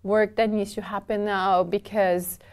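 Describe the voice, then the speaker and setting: A woman. She talks in short, halting bursts and restarts her sentences often. She laughs lightly between thoughts, at an ordinary pitch for a woman. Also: level tone, darkish tone, clean tone. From southern Brazil. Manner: hesitant, many restarts, laughs easily. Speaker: interview guest, documentation developer. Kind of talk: casual interview chat.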